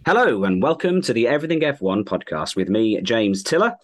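A man hosting a podcast in casual chat, friendly and quick to laugh, with a croaky voice. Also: lowish voice, big pitch swings, faint music behind. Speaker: podcast host.

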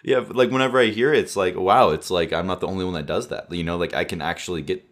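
A young man with a low voice, co-hosting a podcast, chatting excitedly with lots of fillers. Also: fast. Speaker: podcast co-host. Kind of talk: casual podcast chat.

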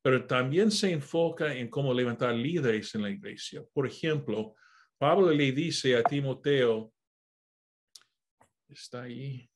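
A man teaching a Bible study over a video call, speaking at a slow, deliberate pace. His delivery is patient and halting, with frequent "ah" pauses and self-corrections. His pitch sits at an ordinary middle level for a man. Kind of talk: online Bible study lesson.

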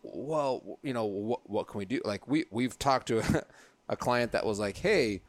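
A man's voice: medium pitch, animated, moderate pace. A man hosting a casual interview, easygoing, asking loose questions and giving many short replies. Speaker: interviewer, host.

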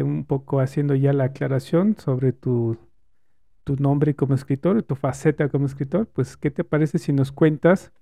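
Mellow-voiced male podcast host. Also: mid-range voice, gravelly, dry recording. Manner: friendly, hesitant questioning with fillers. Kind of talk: casual interview.

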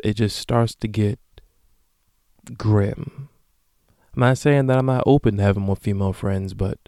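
A man in his late twenties hosting a solo podcast, talking casually and profanely with sudden loud bursts. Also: on the low side, clean tone, no echo, clean studio sound. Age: late 20s. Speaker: podcast host.

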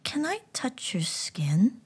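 A woman voicing a shy young boy who stammers and speaks high and eagerly. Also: big pitch swings, slow pace, breathy edge, full character voice. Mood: timid eager.

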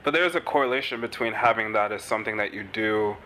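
A man's voice: on the low side, pushed and bright, slight background noise, low-quality recording. A male interviewer asking eager, agreeable questions in a casual conversation. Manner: eager, agreeable, quick to affirm.

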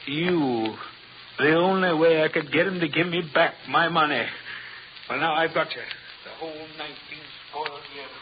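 A man's voice: fairly high pitch, moderate pace, lightly acted. An actor in an old radio suspense drama playing a menacing older man bent on revenge, gloating and sardonic, with theatrical, mocking emphasis. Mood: vengeful, gloating. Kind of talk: 1940s radio suspense acting. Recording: muffled, hissy old-time radio recording.

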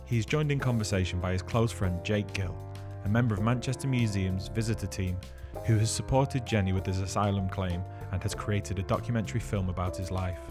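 A man reading a scripted podcast introduction in a measured, prepared way. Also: fairly low pitch. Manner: smooth, measured read-through of a prepared intro. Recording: voice-over with music bed.

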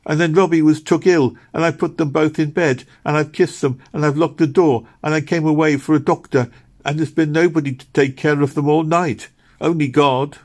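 A man voicing a distressed poor little girl who pleads urgently in a high, tearful voice. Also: steady tone, normal speed, few pauses, natural voice. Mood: desperate.

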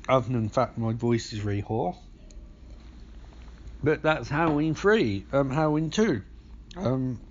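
A hoarse man with a gravelly voice, hosting a podcast and recapping a movie in sweary, rambling casual talk. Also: mid-range voice. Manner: sweary, rambling, acts out scenes. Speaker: podcast host. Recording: dull lo-fi recording.

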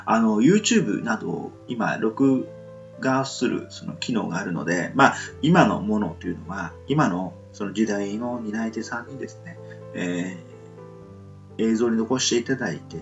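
A man's voice: lowish voice, expressive, normal speed, creaky, lo-fi sound, faint music behind. A man hosting a casual solo talk radio show, politely explaining his thoughts in a hesitant, meandering way as he thinks aloud.

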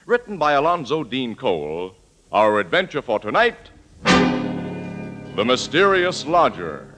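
Animated vintage radio announcer, man. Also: mid-range voice, slow. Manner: polished, formal. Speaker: announcer and narrator. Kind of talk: 1940s radio announcing, scripted. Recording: old-time radio recording. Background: loud music.

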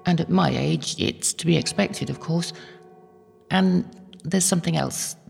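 An actress playing an elderly, devout medieval holy woman in an audio drama, gentle and wistful, musing hesitantly. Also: low-pitched, unhurried, creaky, exaggerated voice. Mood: prayerful, confiding. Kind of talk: audio drama acting, intimate prayerful monologue. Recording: long hall-like reverb, faint music behind.

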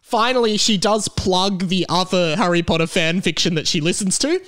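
Australian man, slightly high voice, podcast host, casual chat and mail reading, recorded over a video call. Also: moderate pace, a bit bright. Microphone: remote video call recording.

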